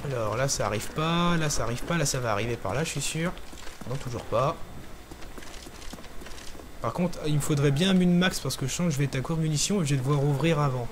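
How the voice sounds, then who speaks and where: A young man hosting a gaming channel, talking through a zombie game in casual commentary, hesitant with lots of pauses and fillers. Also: on the high side, noisy background. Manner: hesitant, many pauses and fillers, talks while playing.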